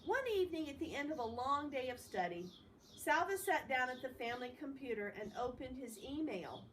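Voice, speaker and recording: A woman's voice: high-pitched, lively intonation, brisk, fairly bright tone. A woman reading a story aloud expressively, in the manner of a read-along with informal commentary. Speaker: storybook reader. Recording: distant mic with faint background noise.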